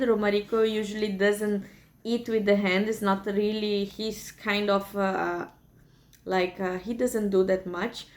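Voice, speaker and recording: Brazilian-accented young woman, vlogger; casual, hesitant delivery, mid pitch. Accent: Brazilian Portuguese. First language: Portuguese. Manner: halting and hesitant, many fillers. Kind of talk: casual vlog chat.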